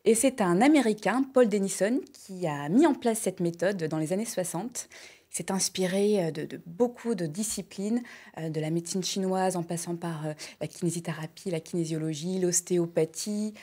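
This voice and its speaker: A woman in her thirties, a health and wellness columnist on a morning TV show, explaining and demonstrating simple exercises in a clear, upbeat way, wearing a lapel mic in a bright studio. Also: on the low side, normal speed. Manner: clear, upbeat explainer, lists items with steady rhythm.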